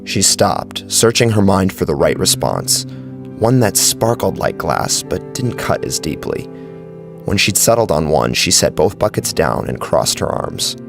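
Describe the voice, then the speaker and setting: Guy narrating creepy fiction, gravelly n dramatic, brooding, faint music under him. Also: low-pitched, big pitch swings, a little slow. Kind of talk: scripted horror story narration.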